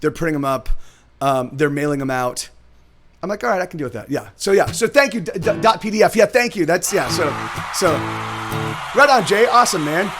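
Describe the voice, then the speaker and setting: A man streaming live as a musician, chatting casually and rambling with a hoarse, worn voice. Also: a little high, expressive, fairly quick, fairly bright tone, soft background music. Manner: rambling, chatty, laughs often.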